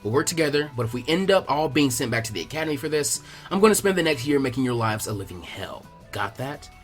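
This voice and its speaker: A man's voice: medium pitch, animated, quick pace, lightly acted. Man doing a feisty, dramatic ninja girl, music behind. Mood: resigned threat. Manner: emphatic, dramatic. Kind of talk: animated fan fiction character reading.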